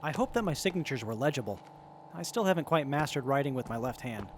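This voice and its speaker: A man's voice: medium pitch, animated, fast, slight background noise, natural voice. A man playing an earnest, politely eager wasteland crew member in an audio drama. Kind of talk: scripted post-apocalyptic radio drama.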